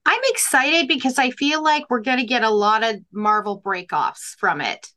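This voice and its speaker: Playful, teasing woman, bright voice, fairly high pitch. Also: normal speed. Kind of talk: casual chat.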